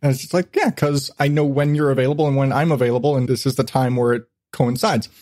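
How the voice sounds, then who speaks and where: A man's voice: medium pitch, steady tone, quick pace, darkish tone, no room sound, studio quality. A man hosting a casual podcast chat, rambling along and swearing freely. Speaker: podcast host.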